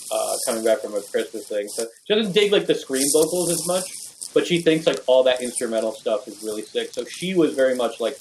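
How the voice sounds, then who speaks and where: A young man, a bass player, chatting loosely in a podcast interview, filling his talk with "like" and "you know". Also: darkish tone, a little hiss or hum, rough recording. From Venezuela. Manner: rambling, full of "like" and "you know".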